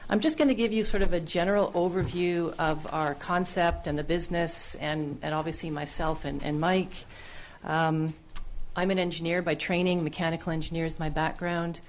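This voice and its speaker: A woman's voice: fairly low pitch, fairly even intonation, moderate pace, slight background noise. A woman presenting her business plan at a town council public hearing, speaking earnestly into a podium microphone with frequent fillers and restarts. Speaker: business applicant. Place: council chamber.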